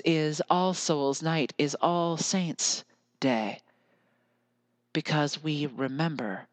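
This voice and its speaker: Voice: mid-range voice, no echo. An American podcast host speaking alone in an earnest, musing way, often drifting into lyrical repetition. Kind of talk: reflective solo podcast monologue.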